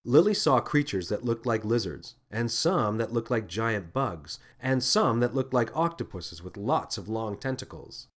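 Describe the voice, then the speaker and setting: A man narrating a scripted children's story in an expressive, deliberate storytelling manner. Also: mid-range voice. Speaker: author and narrator.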